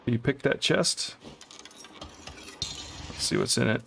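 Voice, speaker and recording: Gravelly man musing over a game. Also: medium pitch, animated, takes it slowly, bright, forceful tone, slight background noise. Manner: thinking aloud, hesitant, puzzling things out. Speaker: co-op game let's player. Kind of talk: casual gameplay commentary.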